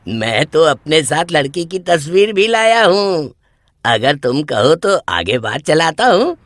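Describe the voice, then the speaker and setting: A man voicing a cajoling, earnest middle-aged matchmaker uncle in a cartoon story, with exaggerated, drawn-out delivery. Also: fairly high pitch, sing-song, fairly bright tone, no echo. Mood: eager. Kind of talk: animated moral story acting.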